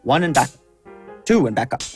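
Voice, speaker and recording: An actor playing a seasoned sword instructor in an audio drama, dry, blunt and patient, with a measured teaching manner. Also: male voice, mid-range voice, very animated, darkish tone, soft background music, natural voice. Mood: firm, instructive.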